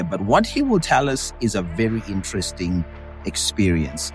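A man with a Zimbabwean accent hosting an interview podcast, speaking in an earnest, measured and emphatic way. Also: mid-range voice. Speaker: podcast host and democracy activist.

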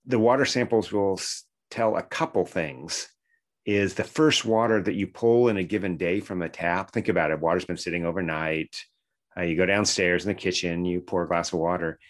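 A man's voice: low-pitched, moderate pace. A man talking conversationally as an interview guest, explaining things step by step like a researcher.

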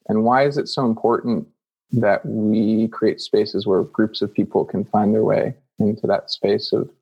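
A man's voice: lowish voice, gravelly, husky, muffled recording. A man hosting a podcast, asking thoughtful, searching questions and backing up his guest with "yeah". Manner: searching and thoughtful, circles around words, affirms with "yeah". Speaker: podcast host. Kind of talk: casual interview questions.

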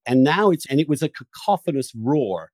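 A male podcast host speaks in a mid-pitched voice with very little room sound around it. He is opinionated and emphatic in conversation, and is not shy about swearing. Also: sing-song, moderate pace, darkish tone, dry recording, studio quality.

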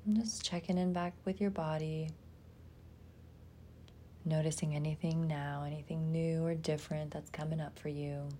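A woman leading a guided meditation in a soft, soothing manner, pausing often and trailing into breathiness. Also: sits low, level tone, a little hiss or hum.

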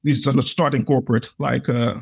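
A man's voice: medium pitch, steady tone, fairly quick, gravelly, husky. A man, a podcast guest, telling his own experiences in an earnest, reflective way, with many "like" fillers. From Netherlands. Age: adult. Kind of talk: conversational interview storytelling.

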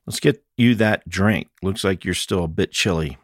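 A man's voice: deep voice, normal speed, breathy edge, dry recording, clean studio sound, pitched above the performer's own voice. A man voicing a tough but caring female police detective, matter-of-fact and dryly humorous, in a mystery audiobook. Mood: casual.

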